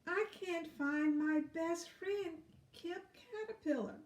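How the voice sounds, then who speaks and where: A woman's voice: very high voice, takes it slowly, pitched above the performer's own voice. A woman voicing a sad little storybook ladybug, tearful and pleading for help. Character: sad, sniffling little ladybug, female, worried about her friend. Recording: roomy echo, distant mic.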